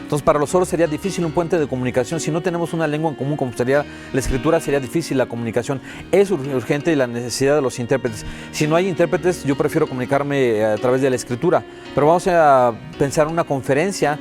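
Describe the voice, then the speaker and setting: A man with a Mexican accent voicing a deaf guest's words as an interpreter in a TV interview, steady and first-person with many "eh" fillers. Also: fairly high pitch, fast, over music.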